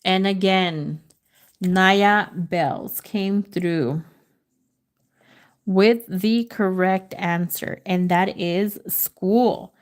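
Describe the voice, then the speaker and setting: A woman from the United States hosting a livestream word-guessing game, reading out letters and chatting with viewers, sometimes in Spanish. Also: mid-range voice. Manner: slow, drawn-out letter reading, switches into Spanish. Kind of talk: casual live chat with viewers.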